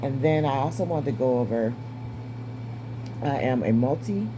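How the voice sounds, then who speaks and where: A woman in her fifties with a raspy, strained voice and short breath, giving a candid personal update. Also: rumbling low, animated, moderate pace, background noise. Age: 50s. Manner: candid, wry, stays positive. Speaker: vlogger. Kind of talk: casual personal update to camera.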